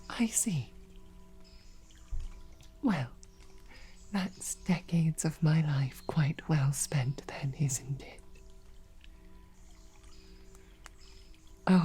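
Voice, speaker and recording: A woman playing a composed, sarcastic female royal knight who speaks formally and often softly, close to a whisper, in an audio roleplay. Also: low voice. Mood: bitter, sarcastic.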